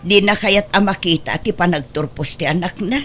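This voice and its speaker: Woman acting older filipino grandma in a radio drama, lowish voice, worried and scolding, faint noise behind. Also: normal speed, fairly bright tone, lightly acted. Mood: upset, lamenting. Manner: scolding, lamenting. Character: older worried Ilocano mother and grandmother, reproachful.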